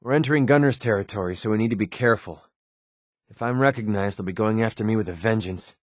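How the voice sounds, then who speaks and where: A man's voice: on the low side, fast talker, no echo, clean studio sound, lightly acted. A man with an American accent playing a sarcastic, wisecracking young mercenary companion in a video game. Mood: wary, alert. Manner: sardonic, wisecracking, colloquial. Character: wry, sarcastic young male wasteland mercenary. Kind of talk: video game companion dialogue, naturalistic acting.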